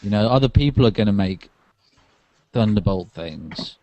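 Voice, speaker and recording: A man's voice: on the low side, animated, normal speed, warm tone, no echo, dull audio. A man in casual tech chat as a podcast co-host, hesitant and correcting himself as he thinks aloud.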